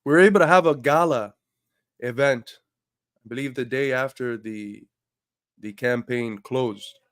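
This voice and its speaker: A man's voice: mid-range voice, unhurried, fairly bright tone, clean tone, dry recording, clean studio sound. A man hosting a livestream, talking earnestly and building to emphatic points while feeling under the weather. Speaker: livestream host. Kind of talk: casual livestream talk.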